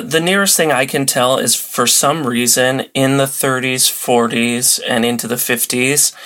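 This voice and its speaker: A man's voice: medium pitch, bright, forceful tone. A man talking casually as a guest on a podcast interview. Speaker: podcast guest, old-time radio podcaster.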